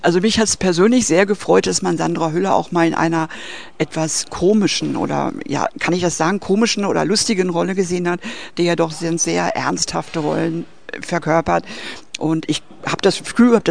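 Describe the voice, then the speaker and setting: A man conducts an interview and puts measured, polite questions to his guest. His voice sits high for a man. A gravelly rasp runs through it. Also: a little hiss or hum.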